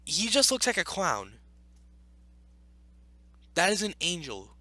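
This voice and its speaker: Animated, high-voiced man in exasperated commentary. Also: slow pace, dry recording. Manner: exasperated, argumentative. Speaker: YouTube commentator. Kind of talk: casual reaction commentary while reading.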